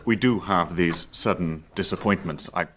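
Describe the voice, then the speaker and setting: An actor playing a detached, formal therapist with a measured manner in a radio drama. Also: male voice, low voice, fairly bright tone, slight background noise. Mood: cool, clinical. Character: detached, formal therapist, cool and dryly resigned.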